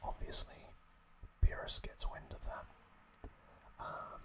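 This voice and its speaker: A man whispering breathily as an ASMR host, recapping episodes in an informal, halting way. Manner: slow, halting, informal explaining.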